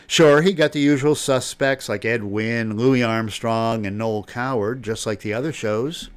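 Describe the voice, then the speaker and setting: A man's voice: mid-range voice, clean tone, no echo. A man hosting a radio history show, reading scripted narration. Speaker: host of an old time radio retrospective.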